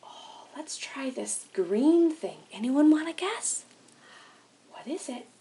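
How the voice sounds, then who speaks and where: A woman leading an interactive storytime for young children, animated and sing-song, asking questions with exaggerated wonder. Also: sits high, slow. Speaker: children's librarian hosting storytime.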